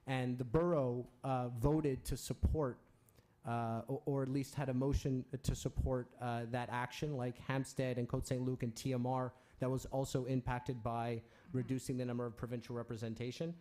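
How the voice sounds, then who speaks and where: A man with a dark, mellow tone addresses a council meeting. He speaks in measured clauses, pausing often with brief fillers. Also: mid-range voice, level tone. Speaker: city councillor.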